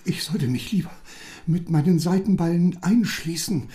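An actor in a German radio drama playing a tempted silk merchant, soft and hesitant. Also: mid-range voice, lively intonation, normal speed, lightly acted.

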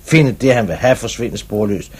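An actor playing a brisk, probing police commissioner in a 1950s radio drama, with clipped, authoritative questioning. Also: male voice, on the low side, lively intonation, a little mellow, husky. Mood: reasoning.

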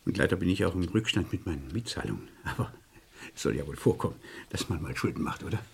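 An actor playing a weary, evasive man under suspicion in a German radio crime play, hesitant and polite, with a husky voice. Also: low-pitched, lively intonation, fast talker, full character voice. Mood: guarded, defensive. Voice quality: husky, gravelly. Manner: hesitant, polite, repeats words.

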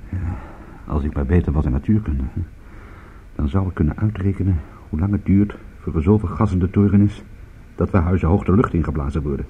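An actor playing a wry private detective in a radio play, speaking and narrating in a dry, understated way. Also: male voice, deep voice, a little fast, slight background noise, lightly acted. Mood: dry, resigned. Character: wry male private detective, calm under mortal danger. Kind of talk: radio play acting with first-person narration. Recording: muffled, phone-like radio drama recording.

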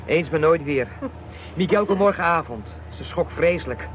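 An actor playing a reluctant young police rookie working undercover in a naturalistic radio play. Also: male voice, on the high side, expressive, normal speed, slight background noise, natural voice, poor audio. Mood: shaken, relieved.

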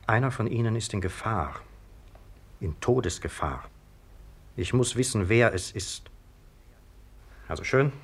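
An insistent police sergeant in a 1950s radio mystery. He sounds official and stern, in a fairly low register. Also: a bit bright, breathy edge, heavily acted. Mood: insistent, warning. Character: brisk, stern male police sergeant questioning suspects. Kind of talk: 1950s radio play acting.

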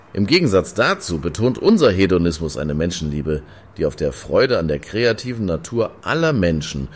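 An actor playing a flirtatious, cheeky club hedonist in a radio play, teasing and declamatory. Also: male voice, low voice, slight background noise. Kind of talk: German radio play acting.

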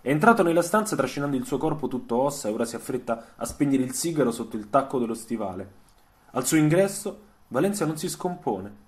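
A man narrating a scripted story for radio, in measured phrases with dramatic pauses. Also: mid-range voice, lively intonation. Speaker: storyteller and author. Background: soundtrack music.

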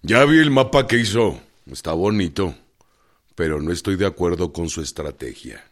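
A stubborn Mexican revolutionary general for radio drama. His voice is fairly low and swings expressively, with blunt, commanding delivery. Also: lively intonation, normal speed. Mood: curt, stubborn. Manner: blunt, authoritative.

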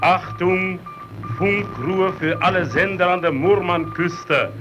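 Insistent radio announcer, high-pitched, deliberate and slow, formal, vintage radio play. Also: male voice, lo-fi sound, over music. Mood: urgent. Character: stern male Soviet radio operator sending alerts.

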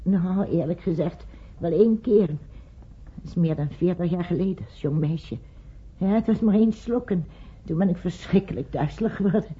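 An actress playing a weary older wife in a radio play, brooding, fearful and haunted by guilt. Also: female voice, lowish voice, normal speed, husky. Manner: brooding, anxious. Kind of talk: radio play acting in Dutch. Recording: muffled old radio recording.

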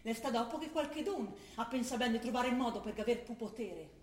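A woman's voice: a little high, brisk, a little mellow, natural voice. An actress playing a defiant young militant woman from who reads her letters and argues with her father. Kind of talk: stage play broadcast on radio. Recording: echoey stage recording with music.

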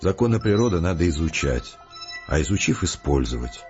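Earnest scientist guy in a radio play, low calm voice, explains patiently, loud music behind. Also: fairly quick. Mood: earnest, reasoning. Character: resolute philosophical male scientist, calm, determined, reasoning patiently. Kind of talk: audio drama acting. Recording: muffled, with prominent music behind.

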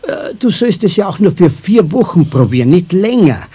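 A man's voice: high voice, sing-song, moderate pace, mellow, husky, heavily acted. An actor playing a folksy, persuasive grandfather in his sixties with a Bavarian accent, in a children's radio play. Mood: coaxing. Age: 60s. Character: jovial, persuasive grandfather nearing retirement.

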